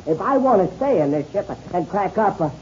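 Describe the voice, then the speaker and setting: An actor playing a fretful, stubbornly loyal airplane sidekick in a 1930s radio serial, stammering in folksy, ungrammatical speech. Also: male voice, high-pitched, lively intonation, brisk, a little mellow. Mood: indignant, stubborn. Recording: dull, noisy old radio recording.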